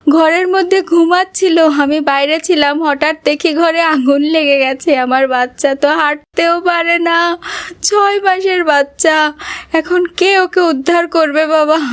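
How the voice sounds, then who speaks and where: Woman playing a high-voiced, fervent cartoon mother. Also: moderate pace, lightly acted. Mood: desperate, pleading. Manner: pleading, repeats phrases fervently. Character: devout, emotional mother of a baby. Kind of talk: children's cartoon voice acting.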